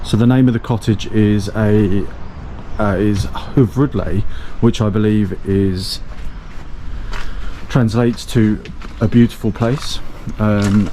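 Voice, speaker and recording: Male vlog host, lowish voice, halting casual tour full of fillers, echoey empty rooms. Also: dark tone, a little hiss or hum. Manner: hesitant, many ums, self-correcting. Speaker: renovation channel host. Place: gutted small cottage, indoors and out.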